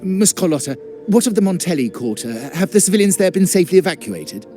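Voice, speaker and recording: An earnest devout priest. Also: male voice, sits high, sing-song, quick pace, warm tone, faint music behind. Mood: solemn. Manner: formal, solemn. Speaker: priest of the Order.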